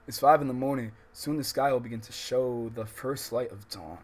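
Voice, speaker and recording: A man's voice: mid-range voice, moderate pace. An American man hosting a casual let's-play livestream, reading story text aloud with stumbles and reacting in slangy, profane talk. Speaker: livestream host.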